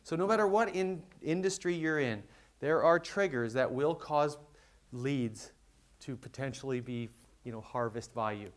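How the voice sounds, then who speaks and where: A man giving a conversational business presentation at a conference, explaining with quick everyday examples. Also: medium pitch. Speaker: conference speaker.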